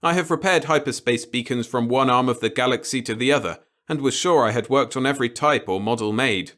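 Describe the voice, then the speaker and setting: Give the voice of a male audiobook narrator with a medium pitch. He reads a scripted first-person story, telling it as the character who lived it. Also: fairly quick, a bit bright.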